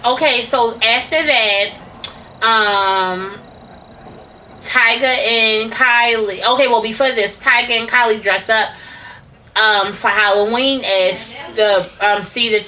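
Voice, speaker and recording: A woman co-hosting a casual gossip chat. She rambles through stories with frequent ums and restarts. Her voice sits a little high and sounds bright and forceful. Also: steady tone, slow pace, a little hiss or hum, low-quality recording. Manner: chatty, hesitant, full of ums and restarts. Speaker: gossip vlog co-host.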